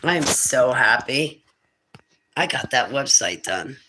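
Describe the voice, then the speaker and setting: A woman with a raspy voice hosting a casual livestream, rambling through her plans and her faith. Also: a bass voice, lively intonation, slow pace, noisy background. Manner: rambling, faith-filled musings. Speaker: internet radio host.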